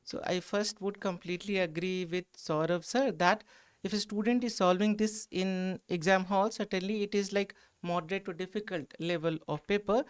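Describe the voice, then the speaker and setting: Indian guy teacher breaking down exam questions, crisp clean voice, stresses his points. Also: high-pitched, fairly even intonation, normal speed. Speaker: history teacher at exam coaching institute. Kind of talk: explanatory exam analysis.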